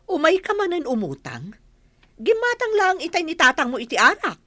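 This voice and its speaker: A woman's voice: sits high, wildly swooping pitch, moderate pace, pushed and bright, no echo, exaggerated voice. A woman with an Ilocano accent playing a scolding, incredulous but grudgingly kind village auntie in a comedy radio drama. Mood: disapproving.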